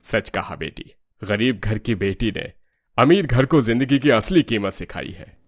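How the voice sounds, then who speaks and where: A man's voice: mid-range voice, lively intonation, unhurried, no echo, studio quality. A man playing a kindly, reflective older father-in-law in a dramatized moral story.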